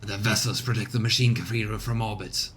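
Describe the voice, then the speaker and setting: A man's voice: fairly even intonation, fairly quick, fairly bright tone, close to an everyday voice. A man voicing a proud male space warrior in a video game, grandiose and contemptuous. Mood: scornful. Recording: rumbly background noise.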